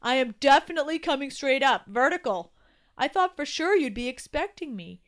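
A woman's voice: sits high, expressive, pitched above the performer's own voice. A woman voicing a male grown-up tooth in a children's story, upbeat, matter-of-fact and reassuring, talking down kindly to a little one. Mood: brisk, matter-of-fact. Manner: upbeat, brisk, patronizing toward the little one. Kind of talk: acted children's story dialogue.

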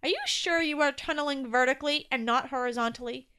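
Woman voicing an anxious little storybook tooth, high-pitched. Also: quick pace, bright, forceful tone, clear, resonant tone. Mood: confused, pleading. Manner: worried, pleading, polite. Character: anxious young male baby tooth, small and naive. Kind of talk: acted children's story dialogue.

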